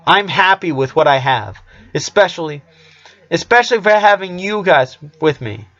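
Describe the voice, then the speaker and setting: A man's voice: a little high, lively intonation, moderate pace, a bit bright, voice pushed up. A man voicing a kind young boy who talks in an earnest, reassuring way. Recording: dull lo-fi recording.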